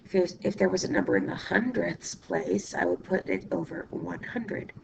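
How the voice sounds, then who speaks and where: A hoarse woman teaching math, her voice very gravelly and rough from illness. She walks through each step patiently, pausing as she writes and checking that students follow. Steady background noise sits under the recording. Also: on the low side. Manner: patient step-by-step explaining, asks "okay?"; writes while talking, pausing mid-sentence. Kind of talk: recorded classroom lesson.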